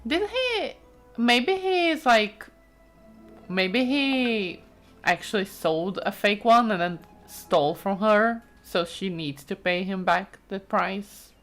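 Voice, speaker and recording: Slow-talking woman commenting on a game. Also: mid-range voice, lively intonation, a bit bright. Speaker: let's play streamer. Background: game music.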